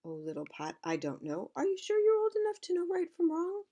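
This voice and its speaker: A woman voicing a gentle, cautious mother pot in a storybook. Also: very high-pitched, wildly swooping pitch, brisk, pitched above the performer's own voice, full character voice. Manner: soft, cautious.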